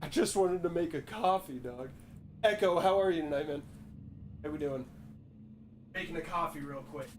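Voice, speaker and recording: A man's voice: a little high, moderate pace, long pauses, slight background noise. A young man streaming a horror game, joking and swearing as he talks to his chat and reads on-screen text aloud. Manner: jokey, profane, talks to chat. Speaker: gaming streamer. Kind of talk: casual gameplay commentary.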